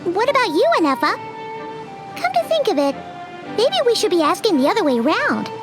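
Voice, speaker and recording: A woman's voice: extremely high pitch, sing-song, brisk. A woman voicing a small, bubbly, food-loving girlish companion who talks about herself in the third person, in a game cutscene. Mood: chirpy. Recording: game audio with music behind.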